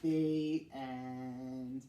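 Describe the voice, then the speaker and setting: A man reading a picture book to children as an expressive storyteller, with theatrical emphasis and dramatic pauses. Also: mid-range voice. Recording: echoey room.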